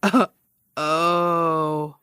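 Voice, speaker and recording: A woman's voice: low-pitched, fairly even intonation, very slow, sharp tone, clear, resonant tone, dry recording, exaggerated voice. Cocky, needling woman investigator, dry close sound. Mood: flippant. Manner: sarcastic, teasing, rambling, defensive. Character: cocky, needling amateur investigator and radio broadcaster, adult woman. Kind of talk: naturalistic audio fiction comedy-drama acting.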